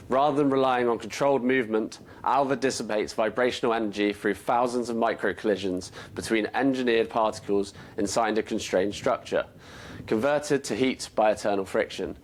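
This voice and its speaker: A man with a fairly low-pitched voice presents a scripted explainer as a company presenter. His delivery is measured and explanatory, laying out each point in turn.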